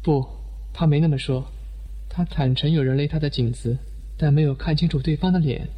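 An actor playing a measured, reasonable man who politely corrects others in a Chinese audio detective drama. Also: a little high, breathy edge, highs cut off. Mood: analytical. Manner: formal, composed, correcting others. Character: measured, reasonable man urging propriety in family inquiry. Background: prominent music.

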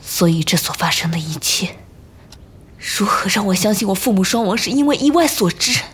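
An actress playing a guarded, haunted and quietly determined woman in a modern audio drama. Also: medium pitch, animated, moderate pace, a little hiss or hum, lightly acted. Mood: bitter, haunted. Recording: voice under prominent background music. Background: music.

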